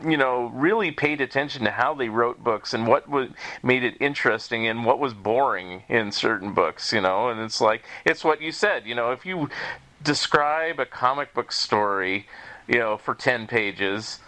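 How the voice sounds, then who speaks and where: A man's voice: mid-range voice, normal speed, sharp tone. A man hosting a podcast, talking casually and informally with plenty of "you know" and "like" filler phrases. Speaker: podcast host, author. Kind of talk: casual conversational talk.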